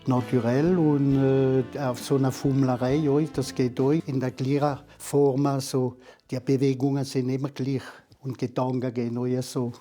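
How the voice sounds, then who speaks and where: Casting: painter, male, mellow, hesitant delivery, searching for words. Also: medium pitch, little pitch movement, moderate pace, faint music behind. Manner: hesitant, searching for words, mixes in French terms. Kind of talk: halting interview speech.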